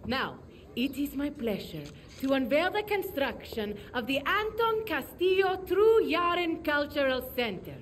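Haughty game politician: polished, lofty, high-ish voice, Latin American Spanish accent, animated intonation. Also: female voice, unhurried, slight background noise. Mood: poised, formal. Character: poised, haughty female government minister. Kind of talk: video game cutscene acting, press announcement.

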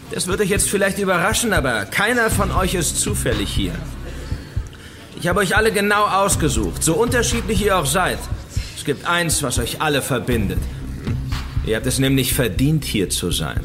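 A taunting villain in a radio thriller. His voice sits fairly high and swings widely as he lectures with theatrical, mock-pedantic relish. Music plays clearly underneath. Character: taunting, theatrical villain preaching guilt and redemption. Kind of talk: German radio crime drama acting.

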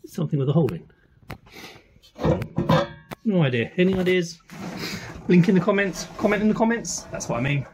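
A man's voice: high voice, animated, brisk, pauses often, over loud music, rough recording. A man gives a curious, chatty walkaround of an old van in a workshop, thinking aloud as he goes. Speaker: vlogger restoring a classic van. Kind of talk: casual walkaround commentary.

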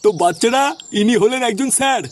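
A man's voice: very high-pitched, fast talker, background noise. A man voicing an officious cartoon school official, formal in manner. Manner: formal, questioning. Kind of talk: comic cartoon dubbing. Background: music.

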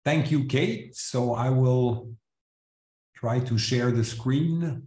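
A man's voice: mid-range voice, slow pace, a little mellow. Roomy-sounding bilingual man lecturing, from Switzerland. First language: French and English. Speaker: seminar speaker, chemist. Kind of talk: academic seminar lecture. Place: indoors, video call. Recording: roomy echo, live online seminar.

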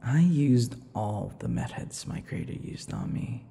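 A man's voice: mid-range voice, wildly swooping pitch, mellow, long reverb tail, heavily acted. A man playing an obsessive, vain, sadistic vampire lover in an intimate ASMR roleplay, drawing out words and slipping into whispers. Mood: possessive, proud. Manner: slow, breathy whispers and giggling, drawn-out words. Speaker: roleplay voice actor.

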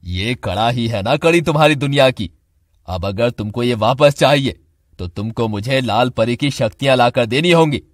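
A man's voice: medium pitch, normal speed, no echo, studio quality, natural voice. A man voicing a sinister, scheming old sorcerer in a cartoon, with a menacing, commanding delivery. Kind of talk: Hindi cartoon voice acting.

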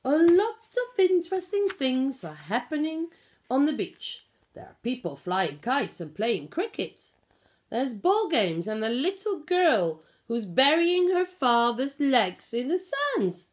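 A middle-aged woman reading a picture book aloud to young children, explaining and asking simple questions in an expressive way. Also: sits high, unhurried. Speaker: pre-school storyteller. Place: small living room at home. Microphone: phone or tablet at about arm's length.

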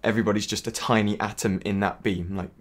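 An actor playing a bitter, self-loathing man in a film monologue, speaking haltingly and searchingly, swearing and repeating his words. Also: on the low side, fairly even intonation, natural voice. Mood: weary, detached.

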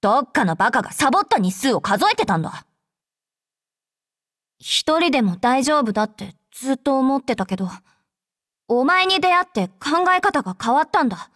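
A woman voicing a brash, tsundere young female android soldier in an anime game, with rough, blunt, tomboyish speech. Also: sits high, very animated, moderate pace, fairly bright tone, no room sound, clean studio sound, exaggerated voice. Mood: earnest, shy. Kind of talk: Japanese anime game character voice lines.

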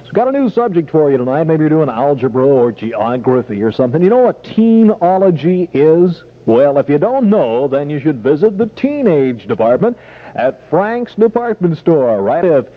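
A man's voice: fairly high pitch, sing-song, moderate pace. A man with an American accent doing chatty, jokey top-40 DJ patter on 1960s radio. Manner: chatty, jokey, upbeat showman. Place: radio studio. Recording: muffled phone-like old radio tape, music behind.

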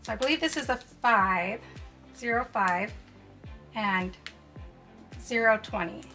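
Young woman host, high and bright, excited toy unboxing, faint music under. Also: slow pace. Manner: gasping, excited exclamations over toys. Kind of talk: cheerful toy unboxing narration. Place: indoors at a tabletop set.

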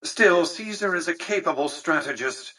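An actor playing a cold, calculating old tycoon in a video game, speaking with an American accent in a measured, pompous, condescending manner with precise diction. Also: male voice, fairly high pitch, expressive, bright, forceful tone, slightly rough, far from the mic, full character voice, low-quality recording. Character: cold, imperious, calculating old male tycoon and casino magnate. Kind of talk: scripted video game dialogue.

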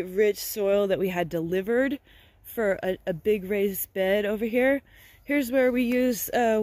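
A woman's voice: mid-range voice, a little mellow, no room sound. A middle-aged woman with an American accent gives a casual, meandering garden tour, speaking hesitantly into a handheld phone outdoors. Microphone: handheld phone at arm's length. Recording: clear outdoor background noise.

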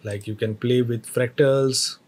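Husky man walking through a software tutorial. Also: medium pitch, fairly even intonation, unhurried. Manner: step-by-step, hesitant phrasing with fillers. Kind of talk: screen-recorded software tutorial.

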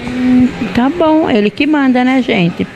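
A middle-aged woman with a Brazilian accent and a fairly high voice hosts a casual food segment in a restaurant. She chats easily and turns to address the viewers directly, speaking into a handheld reporter microphone. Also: expressive, slow, a little mellow, muffled recording. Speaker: TV show host. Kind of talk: casual on-location food interview. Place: restaurant dining room, indoors.

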